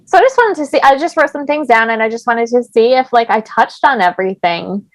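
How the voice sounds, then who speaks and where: A woman's voice: a little high, animated, fairly quick, no room sound. A young woman hosting a podcast, chatting casually and filling her talk with "like". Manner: chatty, lots of "like" fillers. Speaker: podcast host. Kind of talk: casual chat.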